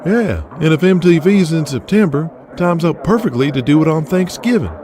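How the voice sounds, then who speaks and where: A man playing an eager, loyal wrestling booker in an audio drama, pitching things in an informal, persistent way. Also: expressive, normal speed, crowd noise, natural voice. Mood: casual.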